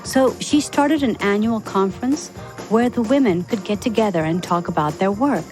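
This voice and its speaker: A woman's voice: medium pitch, moderate pace, darkish tone, soft background music, low-quality recording. A woman reading a scripted biographical story as a podcast narrator for young listeners.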